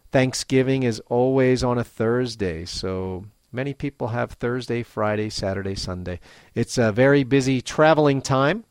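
Male English-teacher host; slow, clear, filler-heavy delivery; dry recording. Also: medium pitch, no room sound. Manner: deliberate, with frequent fillers. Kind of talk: slow, clear podcast talk for English learners.

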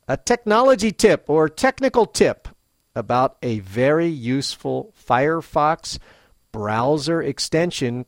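A man hosting a podcast for English learners, speaking slowly and clearly with frequent fillers. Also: a little high, no echo, clean studio sound. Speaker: podcast host and English teacher.

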